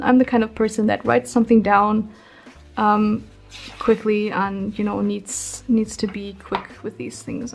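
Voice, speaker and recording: A young woman in her twenties, chatting casually and rambling with many ums as she tours her home art workspace, her voice a bit hoarse from being ill. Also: fairly high pitch, a little mellow. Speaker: artist vlogger. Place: small room at home.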